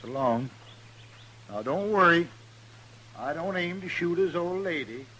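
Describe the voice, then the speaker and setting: Slow ozark hillbilly feuder, dry n stubborn, old radio show w some hiss. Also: male voice, on the high side, animated, sharp tone. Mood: calm menace. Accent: American hillbilly, Ozark. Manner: drawling, dry, unbothered. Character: stubborn, unhurried mountain man bent on feuding. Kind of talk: old-time radio western acting. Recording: noisy old radio recording.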